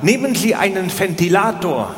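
A man playing an unflappable public-office clerk in a stand-up routine, slow, matter-of-fact and bureaucratic. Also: high-pitched, big pitch swings. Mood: stolid, patient. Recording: echoey hall with long reverb, audience noise.

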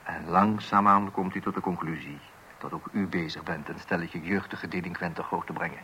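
Male narrator, low and wry, scripted. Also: fairly even intonation, moderate pace, noisy background. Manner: wry, addressing the listeners.

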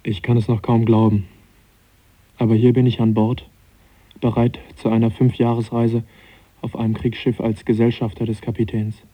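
A man's voice: mid-range voice, monotone, moderate pace, breathy edge, dry recording, muffled recording, close to an everyday voice. An actor playing a cautious, polite young naturalist in his twenties in a radio play. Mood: reflective. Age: 20s. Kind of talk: radio play acting and narration.

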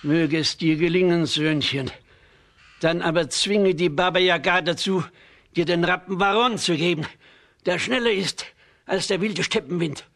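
A man playing a kindly, worried old villager in a children's radio play, husky and gravely cautioning. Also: high-pitched, unhurried, a bit bright, heavily acted. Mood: anxious, urging.

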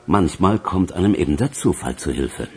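A man's voice: sits low, expressive, normal speed, mellow, slightly rough, full character voice. A man playing a matter-of-fact police investigator in a radio crime drama, speaking in clipped statements. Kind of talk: German radio play acting.

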